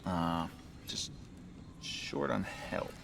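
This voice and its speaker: Laid back rebel in a game, wry, mid voice, echoey with faint noise. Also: male voice, sits low, expressive, extremely slow, vocal fry, full character voice. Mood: wry, casual. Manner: casual, wry. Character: easygoing, self-deprecating rebel fighter with few allies. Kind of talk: video game acting.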